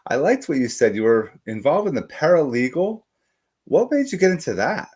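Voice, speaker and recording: A middle-aged man hosting a live podcast, energetic and enthusiastic, talking straight to his viewers. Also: fairly high pitch, moderate pace, slightly rough. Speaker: podcast host. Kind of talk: upbeat show introduction and interviewing.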